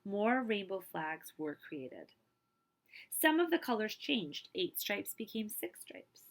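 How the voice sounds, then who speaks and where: A woman with noticeable vocal fry reads a picture book aloud in the role of a librarian. Her phrasing is expressive and emphatic, with deliberate pauses and casual asides to young listeners. Her pitch sits in an average range. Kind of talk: children's read-aloud storytelling with casual asides.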